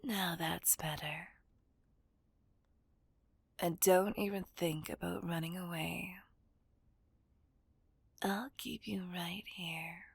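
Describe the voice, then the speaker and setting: A menacing female kidnapper , acted by a woman, whispers low and slow in an ASMR villain roleplay. She is possessive and taunting, giving orders in a breathy, crackling hush. The sound is dry and very close to the mic. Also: no echo, heavily acted. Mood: cold, threatening. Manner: slow, commanding, mocking. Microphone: close microphone.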